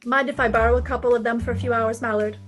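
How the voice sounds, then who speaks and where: A woman's voice: on the high side, fairly even intonation, fast talker, faint music behind, lightly acted. A woman playing a sassy, confident private detective in a 1950s-style radio drama, breezy, wry and commanding.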